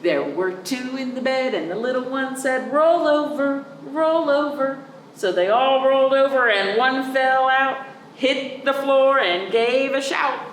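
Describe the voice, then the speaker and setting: A woman hosting storytime for young children, reading aloud in an animated sing-song way with chatty asides to her listeners. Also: sits high, measured pace. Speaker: children's storytime host at a library. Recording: roomy, distant microphone with noticeable background noise.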